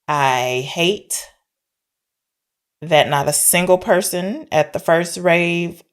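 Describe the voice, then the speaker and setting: A woman's voice: lowish voice, takes it slowly, clean tone. A woman co-hosting a podcast, casually and playfully discussing films. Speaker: podcast co-host.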